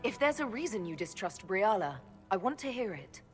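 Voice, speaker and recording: A woman's voice: medium pitch, big pitch swings, normal speed, soft background music, lightly acted. A woman playing a composed, commanding heroine and leader in a fantasy video game, speaking with authority. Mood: concerned, firm.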